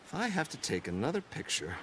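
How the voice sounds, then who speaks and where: A genteel, nervous male photographer from a western game. His voice is bright and swings widely in pitch. He sounds fussy and self-deprecating, with faint outdoor sound around him. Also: wildly swooping pitch, fast, a little hiss or hum, rough recording. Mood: chatty, wry. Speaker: wildlife photographer character. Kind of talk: dramatic game cutscene acting.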